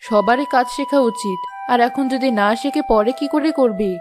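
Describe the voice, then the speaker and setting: Woman acting gentle high-voiced village mother, music behind. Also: moderate pace, lightly acted. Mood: patient, firm. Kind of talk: Bengali cartoon moral-story voice acting.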